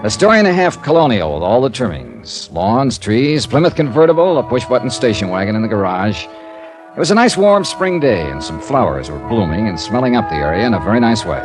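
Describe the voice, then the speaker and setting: Wry, low-voiced hard-boiled radio detective. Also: male voice, very animated, brisk, over music. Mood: wry narration. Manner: dry, wry, clipped. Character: wry hard-boiled male insurance investigator. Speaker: narrator and lead actor playing an insurance investigator. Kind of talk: 1950s radio detective drama, hard-boiled first-person narration.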